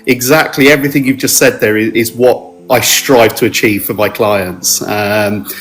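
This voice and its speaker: A middle-aged man from South London with a South London accent, talking modestly in an interview and pausing often with ums and restarts. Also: on the low side, fairly even intonation, fairly bright tone. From South London, England. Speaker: mortgage adviser guest. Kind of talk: relaxed podcast interview.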